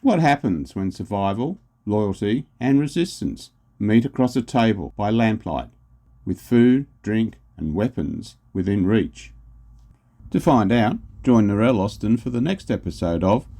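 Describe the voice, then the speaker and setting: A man delivers scripted documentary narration as a podcast announcer. His voice is fairly low and very dark and mellow. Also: animated, unhurried. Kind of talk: scripted narration, episode summary.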